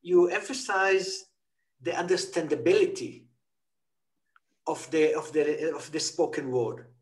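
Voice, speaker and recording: A man's voice: fairly high pitch, a little slow. Older man moderating a video panel. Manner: friendly, joking, with fillers. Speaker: interviewer and moderator. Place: office with a banner. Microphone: laptop video call.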